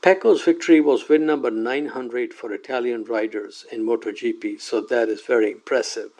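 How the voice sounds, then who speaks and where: A man's voice: medium pitch, normal speed, a little mellow. A man presenting a scripted news roundup, lifting his voice at each new item. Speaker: segment presenter.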